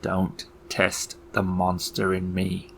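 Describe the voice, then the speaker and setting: A man's voice: deep voice, monotone, gravelly, a bit bright, slightly rough, exaggerated voice. A man voicing a menacing, mocking elf clown villain in a theatrical, taunting way for a tabletop game. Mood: threatening. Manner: theatrical, slow taunting delivery with sudden outbursts.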